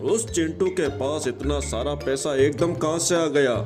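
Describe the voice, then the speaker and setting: A man's voice: fairly high pitch, measured pace, over music, close to an everyday voice. A man playing a greedy blustering moneylender. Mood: scheming. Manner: blustering, scheming. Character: greedy middle-aged male moneylender. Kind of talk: cartoon voice acting.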